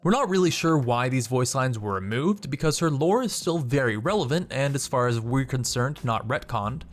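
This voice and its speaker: A man's voice: medium pitch, lively intonation, normal speed. A young man hosting a gaming channel, reading scripted video narration. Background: background music.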